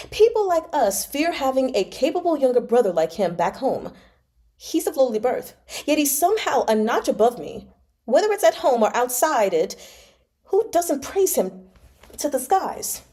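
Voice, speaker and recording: A woman's voice: sits high, warm tone, voice pushed up. A woman voicing a resentful, envious young nobleman, an aggrieved heir in a novel read aloud.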